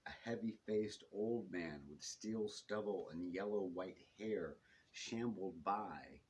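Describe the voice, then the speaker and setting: Low-voiced man reading a novel aloud. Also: takes it slowly, a little mellow, a little hiss or hum, poor audio. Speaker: author reading own novel. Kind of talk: audiobook-style reading of first-person detective fiction. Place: live reading in a bookshop.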